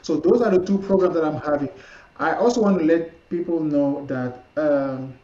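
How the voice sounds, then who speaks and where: A man whose first language is French, with a French accent, speaking as a podcast guest and coach in a casual interview, often halting and repeating words as he searches. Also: a little high, dark tone. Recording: roomy, dull lo-fi sound.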